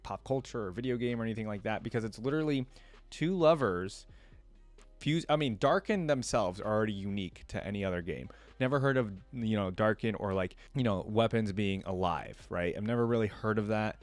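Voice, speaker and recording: A man's voice: lowish voice, a little fast, no echo. A man hosting a reaction video, giving casual, rambling commentary in a conversational way.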